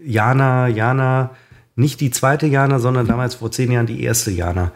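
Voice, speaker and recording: A tipsy man rambles and rants in a comedic chat. He stumbles through fillers and restarts, then climbs into a worked-up tirade. His voice sits at a middle pitch. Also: normal speed. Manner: ranting, hesitant with many fillers, gets worked up. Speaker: podcast co-host.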